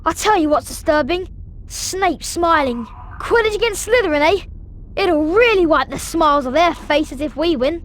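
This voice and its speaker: A woman voicing an excitable young school-age wizard friend in a video game. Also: extremely high pitch, moderate pace. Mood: brisk. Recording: loud game music behind.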